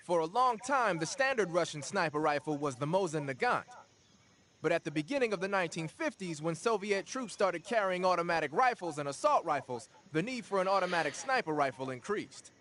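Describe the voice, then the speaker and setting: An actor playing a talkative, streetwise tech and weapons expert in a video game, explaining things informally. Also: male voice, high-pitched, lively intonation, a little fast, a bit bright. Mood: matter-of-fact, explaining.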